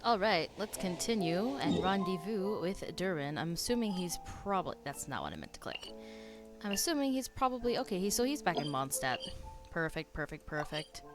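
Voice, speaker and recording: A woman's voice: fairly low pitch, big pitch swings, moderate pace. A woman streamer giving casual reaction commentary while playing a story-driven video game. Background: game music.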